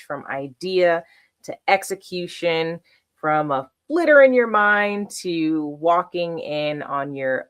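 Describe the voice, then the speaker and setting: Low-voiced chatty woman artist host. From South Florida. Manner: chatty, personal, checks in with the listener often. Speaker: artist hosting a how-to series. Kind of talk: casual talk to camera.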